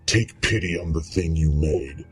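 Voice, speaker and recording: A man's voice: a bass voice, slightly rough, faint music behind, full character voice. A man playing a bitter, lonely monster, speaking in a solemn, grandiose way in a radio horror drama. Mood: lonely pleading. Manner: solemn, grandiose, deliberate delivery. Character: lonely, bitter male giant monster, loathing and pleading. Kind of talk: old-time radio horror acting.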